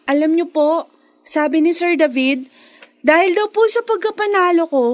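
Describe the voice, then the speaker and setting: A woman's voice: very high-pitched, normal speed. A woman playing a sweet, eager, polite teenage schoolgirl in a Filipino radio drama. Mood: excited, happy. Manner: polite, earnest.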